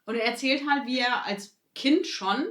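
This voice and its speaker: A woman's voice: a little high, normal speed, fairly bright tone. A woman chatting casually as a podcast co-host. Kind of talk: casual chat. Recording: roomy echo.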